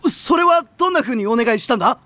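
An actor playing a brash, worshipful teenage schoolboy in an anime audio drama, with bombastic mock-formal phrasing and rough delinquent speech. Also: male voice, very high-pitched, animated, fast, sharp tone, dry recording, heavily acted. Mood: excited, indignant.

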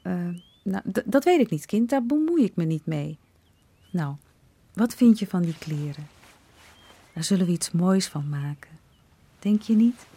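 Motherly middle-aged village woman, mellow, breathy voice. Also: lowish voice, lively intonation, measured pace, long pauses, full character voice. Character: warm fussing mother Marietje, middle-aged.

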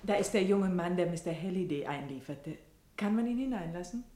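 An actress playing a polite hospital nurse in a German radio drama. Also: female voice, on the low side, brisk, mellow, natural voice. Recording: roomy, faintly noisy radio drama.

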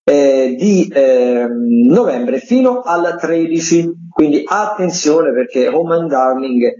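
A man's voice: on the high side, expressive, slow pace, warm tone. A man who is a theatre critic, giving the week's theatre agenda on the radio and stumbling through restarts. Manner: hesitant, many fillers and restarts. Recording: dull echoey remote line.

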